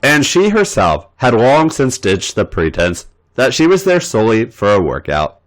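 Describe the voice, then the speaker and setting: A man's voice: mid-range voice, huge pitch swings, moderate pace. A man reading erotic fan fiction aloud as a podcast host, playful and theatrical.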